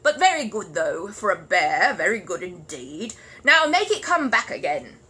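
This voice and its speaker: A woman's voice: mid-range voice, very animated, moderate pace, sharp tone, breathy edge, exaggerated voice. A woman voicing a mean, nosy male neighbour in a storybook, with a grudging, knowing delivery. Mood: knowing, condescending.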